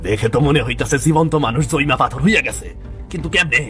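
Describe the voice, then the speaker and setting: A man voicing a concerned, loyal villager who gives measured advice in a cartoon story. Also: fairly high pitch, expressive, a bit bright, soft background music. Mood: puzzled. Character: concerned male villager, cautious loyal friend.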